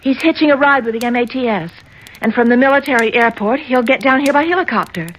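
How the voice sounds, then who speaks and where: A woman's voice: high voice, quick pace, natural voice, rough recording. An actress playing an anxious, ashamed young woman in a 1970s radio drama, hesitant and earnest. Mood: nervous pleading. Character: anxious, ashamed young senator's daughter, pleading. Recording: old radio broadcast, faint noise.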